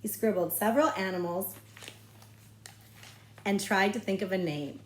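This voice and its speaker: A woman reading a children's novel aloud as a librarian, with expressive, measured storytelling phrasing. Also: mid-range voice, reverberant, slight background noise, poor audio.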